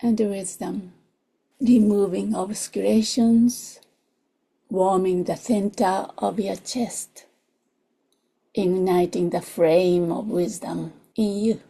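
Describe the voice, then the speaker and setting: Breathy, gravelly woman guiding meditation. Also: mid-range voice, fairly even intonation, low-quality recording. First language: not English. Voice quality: breathy, soft, often dropping to a whisper. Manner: gentle, unhurried, short phrases with pauses. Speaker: meditation teacher. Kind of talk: guided meditation, slow and soothing.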